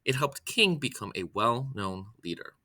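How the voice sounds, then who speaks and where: A man with a very bright, forceful voice reads a children's biography aloud. His pitch sits in an ordinary middle range but moves in a lively way. He takes the text deliberately, one phrase at a time. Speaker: reader, storyteller.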